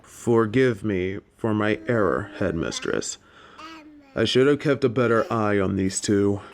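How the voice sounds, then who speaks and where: Slow, formal male professor, acted out. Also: on the low side. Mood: chastened. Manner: formal, measured, dry. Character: deferential but exasperated male magic-school professor. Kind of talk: scripted fantasy audio drama acting.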